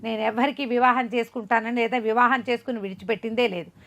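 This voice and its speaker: A woman's voice: fairly high pitch, steady tone, quick pace, fairly bright tone, highs cut off. A woman voicing a cruel king protesting his innocence. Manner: insistent, protesting. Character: accused cruel male king denying guilt.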